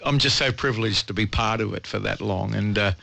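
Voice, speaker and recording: Low, gravelly Australian radio guest. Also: male voice, brisk, sharp tone, dry recording. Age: middle-aged. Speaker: former breakfast radio host, studio guest. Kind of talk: casual studio chat. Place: radio studio. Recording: AM radio broadcast picked up online.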